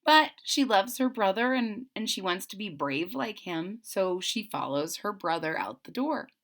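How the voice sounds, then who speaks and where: Animated, bright-voiced woman reading a storybook. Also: medium pitch, unhurried. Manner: expressive, explanatory. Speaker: storybook reader. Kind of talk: children's read-aloud with simple explanations.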